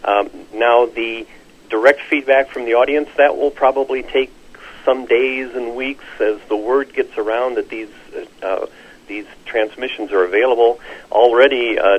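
A man with a husky voice explaining things as an expert interview guest, hesitant and measured with frequent pauses. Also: medium pitch. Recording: slightly dull, lo-fi radio-call sound.

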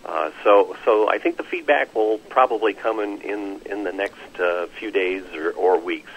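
Male expert interviewee, fairly deep and husky, explaining haltingly with many pauses, lo-fi radio sound. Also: slight background noise. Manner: hesitant, measured, frequent long pauses. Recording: slightly dull, lo-fi radio-call sound.

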